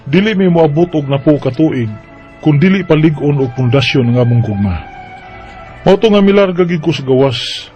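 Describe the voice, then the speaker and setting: An actor playing a heartbroken young overseas worker in a radio drama, earnest and emotive, with a halting delivery. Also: male voice, mid-range voice, lo-fi sound, close to an everyday voice. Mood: wistful narration. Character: heartbroken overseas worker, a young man searching for his girlfriend. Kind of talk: radio drama acting and narration.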